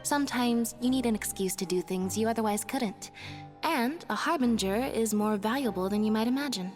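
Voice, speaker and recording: A woman voicing a calm, reserved young woman in a video game, understated and deadpan. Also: a little high, moderate pace, close to an everyday voice. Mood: cool, matter-of-fact. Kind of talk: video game character dialogue. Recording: faint music behind.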